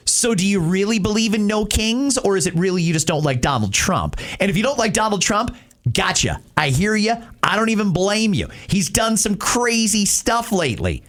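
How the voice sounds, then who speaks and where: A man's voice: sits high, sing-song, normal speed, fairly bright tone. A Canadian man hosting talk radio with conversational, opinionated, emphatic commentary.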